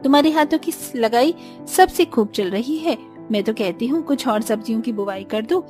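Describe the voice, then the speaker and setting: Woman as an earnest high-voiced village daughter-in-law. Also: expressive, normal speed, a little mellow, soft background music, lightly acted. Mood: eager advising. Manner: earnest, explanatory. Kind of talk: Hindi cartoon story dialogue.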